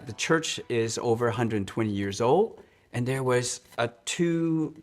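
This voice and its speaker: A man's voice: medium pitch, animated. Earnest man, church music director. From Hong Kong. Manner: earnest, restarts and repeats words, slows on key points. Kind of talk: interview, personal storytelling.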